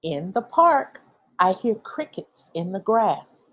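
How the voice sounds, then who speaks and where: A woman reading a children's picture book aloud, with expressive, deliberate phrasing for young listeners. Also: mid-range voice. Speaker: read-aloud storyteller.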